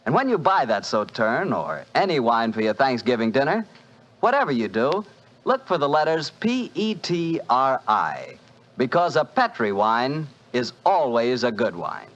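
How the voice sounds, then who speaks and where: A male announcer reading a smooth, genial wine commercial and hosting a 1940s radio show. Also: mid-range voice, very animated, unhurried, fairly bright tone. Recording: dull 1940s radio broadcast.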